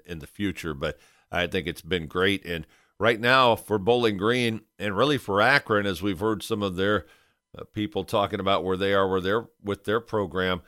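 A man co-hosting a sports talk show, giving conversational analysis in measured, deliberate phrases. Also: deep voice, fairly bright tone, no room sound, clean studio sound.

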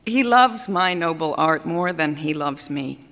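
In a reverberant hall, a vain, capricious female opera singer delivers a theatrical monologue. Her voice is on the low side and swoops widely in pitch. She speaks grandly and deliberately, pausing for effect, scornful and adoring by turns. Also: lively intonation, a bit bright, heavily acted. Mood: grudging admiration. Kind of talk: theatrical dramatic monologue before an audience. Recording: reverberant hall stage recording.

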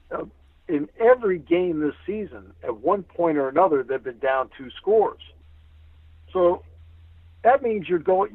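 A man's voice: on the high side, dry recording. A man who works as a sports announcer, giving conversational analysis as a guest in an emphatic way, repeating phrases for emphasis. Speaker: radio play-by-play sports announcer, interview guest. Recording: tinny narrow phone-like line.

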